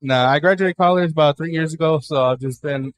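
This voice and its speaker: A man in his twenties from Dallas, a new stand-up comic, chatting casually as a podcast guest with lots of fillers and swearing. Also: fairly high pitch. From Dallas, Texas. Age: 20s. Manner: rapid, filler-heavy, profane. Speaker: guest comedian. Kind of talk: casual interview chat.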